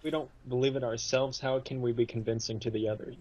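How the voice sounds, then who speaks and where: A man's voice: mid-range voice, little pitch movement, fast talker, mellow, slightly rough. A man chatting casually as a podcast guest over a lo-fi voice call. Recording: dull, lo-fi voice call.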